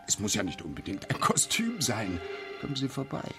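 An actor playing a sly costume-shop owner in a radio play, oily, obliging and suggestive. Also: male voice, on the low side, wildly swooping pitch, moderate pace, music in the background. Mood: slyly insinuating.

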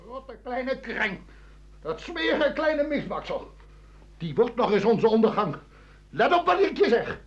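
A man's voice: very high voice, sing-song, a little slow, full character voice. An actor playing a foul-tempered, tyrannical father who rants and curses accusingly in a radio play. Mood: scornful fury. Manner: ranting, cursing, accusing, repeating himself. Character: foul-mouthed, raging, tyrannical French father. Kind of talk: radio drama acting. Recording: dull 1980 radio play recording.

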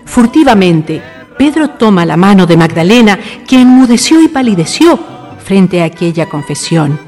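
A woman's voice: medium pitch, big pitch swings, normal speed, a little mellow. A woman with a Chilean accent reading scripted narration for a radio drama. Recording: long reverb, faint music behind.

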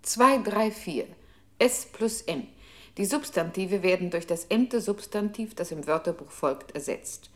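An actress playing a computer's impersonal control voice in a radio play, announcing commands and technical terms with formal precision. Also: female voice, mid-range voice, expressive, measured pace, a bit bright. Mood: clinical, impersonal.

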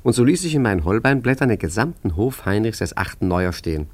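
A man's voice: lowish voice, big pitch swings, quick pace, a little mellow, husky. An actor playing a genteel, self-satisfied art forger in a 1950s German radio play, chatty, urbane and mock-modest as he confides in the listener. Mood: smug, savouring.

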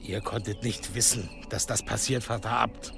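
An actor playing a loyal, practical monk in a radio drama, gravelly and sober. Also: male voice, on the low side, fairly even intonation, moderate pace. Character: concerned, practical monk, loyal to his abbot. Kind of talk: German radio drama acting. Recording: loud music under radio drama.

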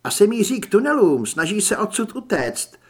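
An audiobook heroine, acted by a male narrator, a young woman hunter used to command. Her voice is pitched up with a husky grain, and she gives brisk, urgent orders. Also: very animated, exaggerated voice.

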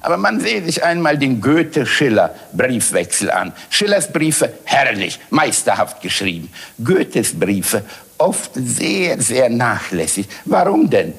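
Man, emphatic and repetitive, slow-paced, very lively intonation, rhetorical questions. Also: medium pitch, vocal fry, faint music behind.

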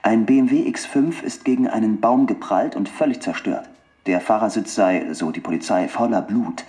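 An actor playing a formal, matter-of-fact local radio newsreader delivering a scripted bulletin in a drama. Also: male voice, on the low side, moderate pace, a little mellow, close to an everyday voice.

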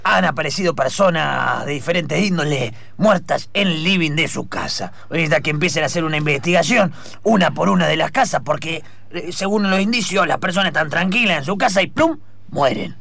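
Flustered, gravelly Argentine police chief, acted by a man. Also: a little high, a bit bright, no echo. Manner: halting, repetitive. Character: pleading, flustered male police commissioner. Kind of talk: improvised comic radio drama.

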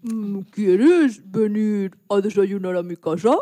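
A plucky little pig acted by a woman from a children's radio story. The voice is mellow and sits at a middle pitch, full of wonder and determination. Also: slow, natural voice. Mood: curious, friendly.

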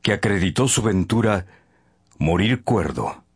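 An actor playing an educated, spirited bachelor and loyal friend, declaiming theatrically in a radio drama. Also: male voice, on the low side, animated, measured pace, husky, full character voice. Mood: solemn recital.

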